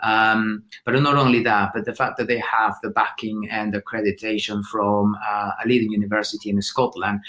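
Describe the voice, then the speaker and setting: Low-voiced man answering, full of ums. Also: little pitch movement, moderate pace, hardly any pauses, pushed and bright. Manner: hesitant, many fillers and restarts. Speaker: student being interviewed. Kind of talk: casual interview answers.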